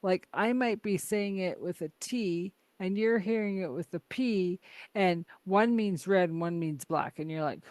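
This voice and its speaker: A woman's voice: fairly low pitch, a little slow, darkish tone, no echo. A woman hosting a podcast, chatting casually with frequent laughs and lots of filler words. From Canada. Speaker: podcast host.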